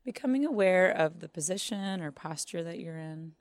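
A woman leading a guided body scan meditation in measured phrases with long pauses. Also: on the low side, expressive. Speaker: meditation teacher.

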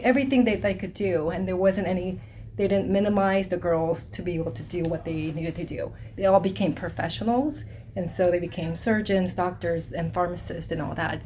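A woman's voice: fairly low pitch, darkish tone, slight background noise. A middle-aged woman from South Korea, an army hospital deputy commander, earnestly answering interview questions while searching for her words.

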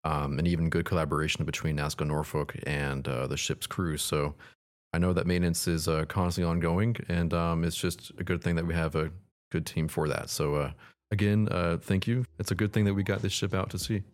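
A man's voice: low-pitched, fairly even intonation, a little fast. A man interviewing a guest, agreeable and friendly in his replies. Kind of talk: casual interview.

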